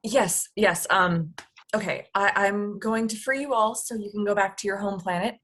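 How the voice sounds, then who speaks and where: A woman's voice: medium pitch, moderate pace, fairly bright tone, close to an everyday voice. A woman reading the part of an earnest female space agent at a comedy script table read.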